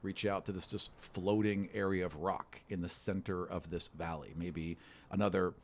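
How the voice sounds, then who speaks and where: A man working as game master, narrating a tabletop game in a casual, halting, descriptive way. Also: low-pitched, fairly even intonation. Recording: faint background noise.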